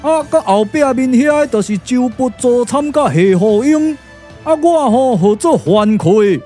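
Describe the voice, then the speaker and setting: High, folksy Taiwanese comic warrior. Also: male voice, measured pace, faint music behind. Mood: breezy, introducing. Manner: blunt, excitable, colloquial. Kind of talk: Taiwanese glove-puppet radio drama acting.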